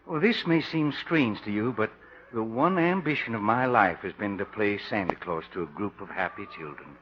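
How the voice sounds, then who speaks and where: An actor playing a mild, over-polite pickpocket jailbird in a radio comedy, speaking in a courtly, apologetic and wistful way. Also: male voice, lowish voice, animated, normal speed, natural voice. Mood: wistful, humble. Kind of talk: 1950s radio comedy acting. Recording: noisy, dull 1950 radio recording.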